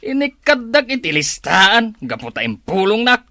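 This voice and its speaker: A man's voice: sits high, huge pitch swings, moderate pace, sharp tone, dry recording, studio quality, exaggerated voice. A man acting a quarrelsome village husband in a comedy drama, with a Filipino accent. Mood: taunting.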